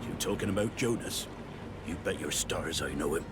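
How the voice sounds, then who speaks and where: An actor playing a salty, exasperated sailor with colourful seafaring talk in a fantasy game. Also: male voice, sits low, moderate pace, lightly acted. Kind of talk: scripted fantasy game dialogue. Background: music.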